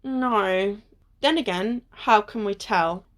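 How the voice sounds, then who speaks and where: A woman's voice: mid-range voice, takes it slowly, close to an everyday voice. A woman acting a wary, bossy, teasing young adventurer in an amateur radio play. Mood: matter-of-fact, teasing.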